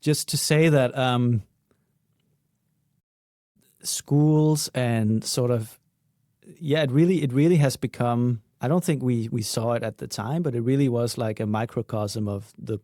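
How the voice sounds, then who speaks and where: A man with a mellow, soft-edged voice talks casually on a podcast panel. The sound is dry and close, and his pitch sits in an ordinary male range. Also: unhurried, dry recording. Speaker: podcast panelist, communications professional.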